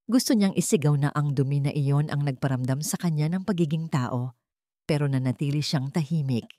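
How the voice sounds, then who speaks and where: A woman narrating a drama story in a measured, dramatic storytelling manner. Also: low-pitched, dark tone, dry recording.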